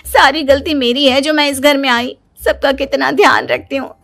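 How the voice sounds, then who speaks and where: Woman acting a high-pitched, whiny, dramatic young housewife. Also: fairly even intonation, normal speed, creaky, fairly bright tone. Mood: indignant. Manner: dramatic wailing, self-praising complaints. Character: whiny, boastful, self-pitying young Indian daughter-in-law.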